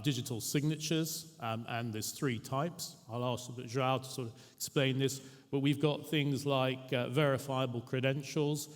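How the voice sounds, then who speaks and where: Man moderating a conference panel. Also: mid-range voice. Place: large conference hall stage. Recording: hall reverb.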